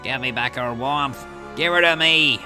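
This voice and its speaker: A man's voice: mid-range voice, measured pace, over music, pitched above the performer's own voice, natural voice. A man reading game dialogue aloud, voicing a bitter, obsessive grieving man who snarls defensively and builds to outbursts.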